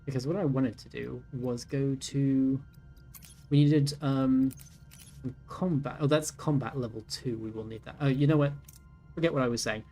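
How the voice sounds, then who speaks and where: A man's voice: mid-range voice, steady tone, normal speed, warm tone. A man in his thirties giving casual let's-play commentary, thinking aloud with many ums and self-corrections. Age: 30s. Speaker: gaming YouTuber. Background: faint game music.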